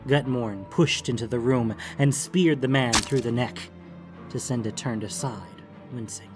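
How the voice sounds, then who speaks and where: A man's voice: mid-range voice, measured pace, music in the background. A man reading scripted, dramatic fantasy narration as an audiobook narrator.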